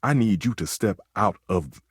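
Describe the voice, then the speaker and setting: An actor playing a proud, temperamental, food-obsessed stove chef in a video game, with pompous, flowery delivery and exasperated outbursts. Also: male voice, lowish voice, expressive, normal speed, husky, no echo, studio quality. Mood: haughty, irritated. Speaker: voice actor in a dating simulator game. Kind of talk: theatrical video game character acting.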